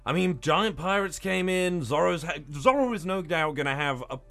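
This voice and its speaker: A man co-hosting a podcast in casual, excitable chat about manga, swearing freely and talking emphatically with stammered restarts. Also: fairly high pitch, normal speed, creaky. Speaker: podcast co-host.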